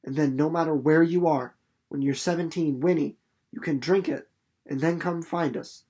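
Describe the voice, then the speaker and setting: Storybook country boy voiced by a man, teenage, earnest, folksy, pleading, slightly halting. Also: fairly high pitch, steady tone, warm tone, lightly acted. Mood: urgent, pleading.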